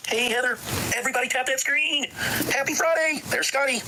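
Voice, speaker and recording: A man with a voice that sounds like Kermit the Frog, hosting a phone livestream and rattling off shoutouts to viewers. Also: very high voice, steady tone, noisy background. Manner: rapid shoutouts, urging viewers. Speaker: livestream host. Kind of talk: livestream hype.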